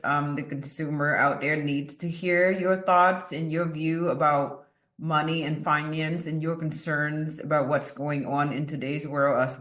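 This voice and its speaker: Chatty low-voiced woman host. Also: a bit bright. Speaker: podcast host. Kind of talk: casual interview. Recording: roomy echo.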